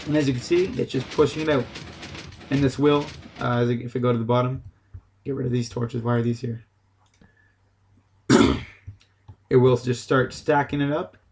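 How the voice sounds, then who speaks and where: A man's voice: mid-range voice, fairly even intonation, moderate pace, pauses often, a little mellow. A man giving a casual, unscripted game tutorial, explaining things in a loose, hesitant way. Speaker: gaming tutorial host.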